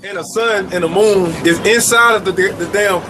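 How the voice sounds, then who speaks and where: High-voiced man arguing on a noisy street. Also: normal speed. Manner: reasoning aloud, slangy, emphatic. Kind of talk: casual argument.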